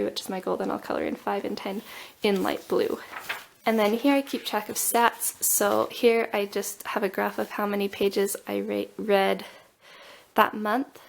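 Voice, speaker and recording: Chatty woman with a cold, slightly gravelly. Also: mid-range voice. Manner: chatty, self-describedly nerdy, thinks aloud with fillers. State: has a cold. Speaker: booktuber. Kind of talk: casual walkthrough vlog. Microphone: overhead camera on table, hands only.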